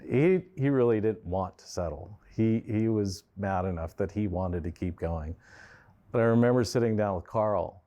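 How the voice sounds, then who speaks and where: A man's voice: lowish voice, moderate pace, muffled recording. A man telling a story in a documentary interview, quoting others. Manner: animated storytelling, quotes others.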